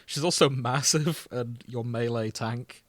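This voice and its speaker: Male podcast host, medium pitch, informal and joking, very little room sound. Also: unhurried, no room sound. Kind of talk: casual chat.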